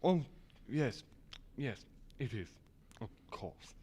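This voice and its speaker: An actor voicing a timid, gentle male horse in a children's radio drama, hesitant and halting. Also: medium pitch, very animated, slight background noise. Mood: contented.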